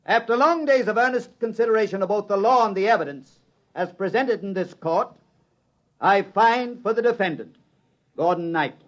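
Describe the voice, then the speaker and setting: An actor playing a solemn, troubled judge in 1950s radio drama, speaking in formal, weighty oratory. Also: male voice, high-pitched, expressive, normal speed, a bit bright. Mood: grave, troubled. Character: solemn, troubled male judge delivering a reluctant ruling. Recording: dull vintage radio broadcast recording.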